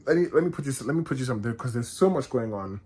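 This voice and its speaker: Excitable man gushing about books. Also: medium pitch, racing, breathy edge. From Nigeria. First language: Yoruba. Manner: excitable, gushing, rambling with restarts. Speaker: book vlogger. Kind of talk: casual reading vlog chat.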